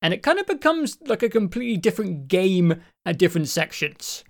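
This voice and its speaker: A man co-hosting a casual podcast about games, his voice croaky because his throat won't clear, rambling along with restarts and the odd swear. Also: sits high, very animated, fairly quick. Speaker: podcast co-host. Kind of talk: casual chat.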